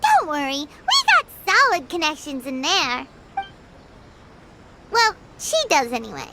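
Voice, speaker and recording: Super squeaky little fairy game sidekick, cheeky n perky, bounces all over. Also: female voice, wildly swooping pitch, measured pace, slight background noise, exaggerated voice. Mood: cheerful, reassuring. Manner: perky, speaks of herself in third person. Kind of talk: English video game voice acting. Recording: loud music over the voice. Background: loud game music.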